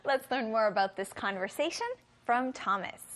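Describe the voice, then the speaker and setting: A woman's voice: fairly high pitch, normal speed, fairly bright tone. A woman co-hosting an English lesson, giving simple scripted explanations with careful enunciation. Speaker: co-host of an English lesson show.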